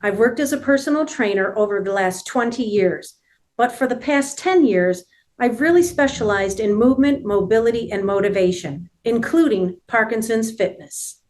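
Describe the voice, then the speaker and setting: A woman's voice: a little high, normal speed, a little mellow. A woman personal trainer hosting and giving a scripted, welcoming introduction.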